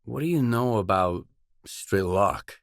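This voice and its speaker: A man's voice: on the low side, expressive, a little slow, creaky, dry recording. An actor playing a guarded, determined male stalker mercenary in a video game, speaking tersely and matter-of-factly with dry delivery. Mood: businesslike. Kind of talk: video game character dialogue lines.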